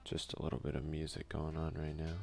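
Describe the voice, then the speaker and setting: A soft-spoken man narrates a video game in ASMR style. His voice is very low with a lot of gravelly fry. He reads game text unhurriedly and drops in casual asides, with faint music behind him. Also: completely flat delivery, fairly quick. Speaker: let's play streamer.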